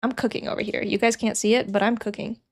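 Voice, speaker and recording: A woman's voice: mid-range voice, level tone, fast. A young woman streamer who is sick and sniffling, chatting casually with her chat and thinking aloud as she works.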